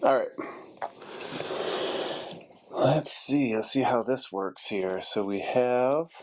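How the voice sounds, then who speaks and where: A man's voice: mid-range voice, slow pace. A man casually narrating a hands-on repair, thinking aloud as he works, like a DIY video host. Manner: thinking aloud while working. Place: indoors at a carpeted workspace desk.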